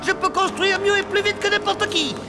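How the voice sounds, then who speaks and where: Shrill, vain cartoon evil genius. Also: male voice, steady tone, brisk, music in the background. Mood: boastful. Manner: boastful, ranting. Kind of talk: French cartoon dub acting.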